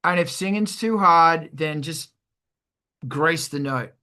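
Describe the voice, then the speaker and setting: A man's voice: a little high, slow. A man casually teaching music production as a show host, with a sweary, irreverent manner. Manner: sweary, irreverent, animated explaining.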